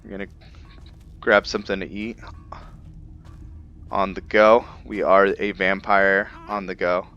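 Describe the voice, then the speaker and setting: A man with a low voice talks slowly through a roleplay game, casually thinking aloud and pausing to find his words. Faint game ambience sits behind him. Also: little pitch movement, pushed and bright, dull audio, slight background noise. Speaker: gaming video host. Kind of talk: casual roleplay gameplay commentary.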